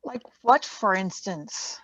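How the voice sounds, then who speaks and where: A woman's voice: mid-range voice, animated, takes it slowly, husky, heavily acted. A woman playing an earnest, loyal young companion in a radio play reading, protesting, over a dull lo-fi microphone.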